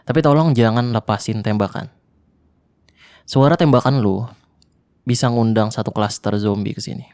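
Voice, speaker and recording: Gravelly young man. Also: fairly low pitch, moderate pace, dry recording. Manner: casual slangy speech, tense and hushed at times. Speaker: voice actor. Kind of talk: ASMR roleplay monologue.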